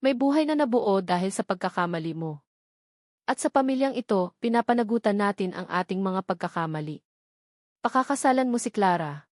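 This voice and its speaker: A woman's voice: medium pitch, lively intonation, a little fast, no room sound, clean studio sound, close to an everyday voice. Woman voicing a stern, commanding old patriarch. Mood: stern, threatening. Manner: commanding, forceful, uncompromising. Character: stern, commanding elderly wealthy father, angry patriarch.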